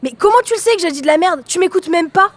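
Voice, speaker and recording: A woman's voice: extremely high pitch, expressive, fast. An actress playing a defensive, hot-headed teenage girl in an audio drama, speaking in accusing slang. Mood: indignant.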